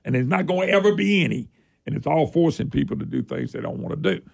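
An older man hosts a talk radio show, his voice gravelly and husky, still hoarse from a throat bug. He rambles in a folksy, animated way, his pitch swinging up and down. A guitar plays faintly behind him. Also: fast talker. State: hoarse, recovering from a sore throat. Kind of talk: casual opinionated talk radio.

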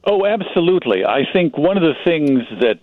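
A man's voice: a little high, sing-song, brisk, dry recording. Man commenting over a phone-like line. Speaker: guest, magazine publisher and broadcaster. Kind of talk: conversational commentary.

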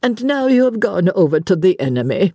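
A woman voicing a fussy, theatrical older English lady from a novel, gushing and bossy, with drawn-out, affected exclamations. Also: medium pitch, very animated, dark tone, dry recording, clean studio sound, pitched above the performer's own voice. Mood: playful, bossy.

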